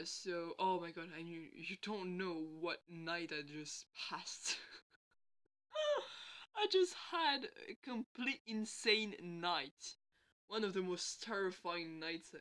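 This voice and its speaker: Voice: medium pitch, sharp tone, clear, resonant tone. A vlogger sick with a bad cold, chatting casually at home in a rambling way and laughing often. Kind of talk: casual late-night vlog chat. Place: indoors at home.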